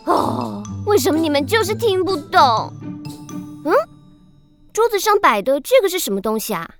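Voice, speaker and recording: A petulant, dreamy and ambitious young girl. The voice is very high and swings widely, exclamatory in children's radio drama style, with music behind. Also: very animated, moderate pace, a bit bright, full character voice. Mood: complaining, dreamy.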